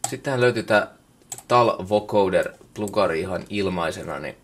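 A Finnish man giving a casual, unscripted software walkthrough in a colloquial way, muttering asides to himself, recorded on a camera microphone indoors. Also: sits low, slight background noise. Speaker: music production tutorial maker. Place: indoors at a computer workstation.

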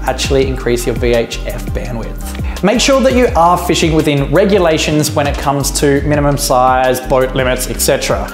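A man's voice: mid-range voice, lively intonation, moderate pace, music in the background. Upbeat Australian man in an echoey garage. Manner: upbeat, talks with his hands. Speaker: fishing channel host. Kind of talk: fishing tips vlog to camera.

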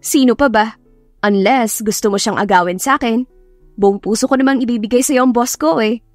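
Woman playing a good-humoured young Filipina heroine, fairly high. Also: fairly quick, no echo, natural voice. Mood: casual, teasing. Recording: music behind the voice.

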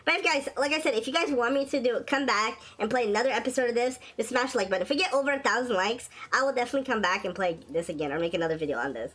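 A woman hosting a gaming video with casual, excitable commentary, her voice raspy from a sore throat. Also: fairly high pitch, very fast, hardly any pauses. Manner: excitable, shrieks and laughs at wins and deaths. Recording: faint game music behind.

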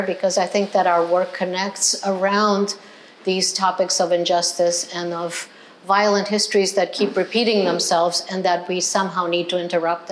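Older woman, even-toned and measured. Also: lowish voice, a little hiss or hum, poor audio. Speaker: professor and writer, panelist. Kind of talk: reflective panel discussion. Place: gallery room, indoors.

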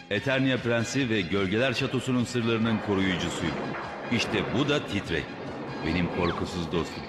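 Guy as a cartoon hero prince, lowish voice, sure of himself, music behind. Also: close to an everyday voice. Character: heroic young prince, friendly and confident. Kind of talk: dubbed cartoon hero narration and dialogue.

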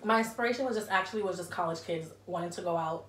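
A woman's voice: fairly low pitch, fast, a little hiss or hum. A young woman from Jamaica, a guest talking casually and laughing often about her dessert business. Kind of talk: casual chat. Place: studio set, indoors. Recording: roomy, slightly distant sound.

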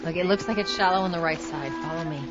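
A woman's voice: low-pitched, fast talker, over loud music, lightly acted. Blunt, desperate tough woman, slightly muffled acted. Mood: guarded. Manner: blunt, insistent, emotionally raw. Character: tough, stubborn, desperate woman smuggler in middle age. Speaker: voice actor. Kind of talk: naturalistic dramatic game acting.

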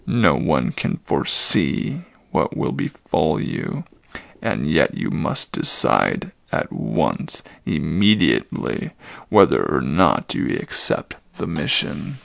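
A man voicing a very old, weary male centaur with a deep, gravelly voice, pleading slowly and heavily in a dramatic storybook reading. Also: wildly swooping pitch, a bit bright, dry recording, studio quality, heavily acted. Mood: urgent, weary. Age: older.

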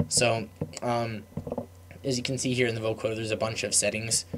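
A young man giving a casual, unscripted software tutorial, rambling and trailing off mid-thought in an informal way. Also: lowish voice, little pitch movement, normal speed, slight background noise.

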